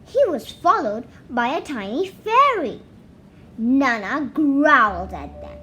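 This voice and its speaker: A very high, child-like voice narrates a children's story. The delivery is animated and swooping, yet slow, with pauses between short phrases. It is a read-aloud bedtime tale. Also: lo-fi sound.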